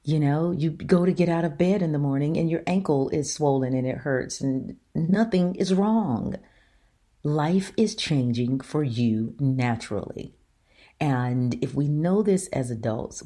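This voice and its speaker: A middle-aged woman with a deep voice hosting her own talk show, speaking earnestly and emphatically to her listeners. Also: unhurried, mellow. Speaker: show host. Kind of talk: solo opinion talk.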